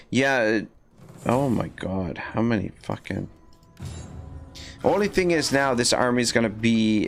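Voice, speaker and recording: A man with a scratchy voice giving rambling, casual commentary while livestreaming a strategy game, with many fillers and restarts. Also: lowish voice. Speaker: livestream gamer.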